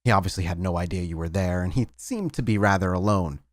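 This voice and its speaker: A man's voice: sits low, fast, dry recording, studio quality. A man narrating a tabletop horror game as storyteller, in dramatic style.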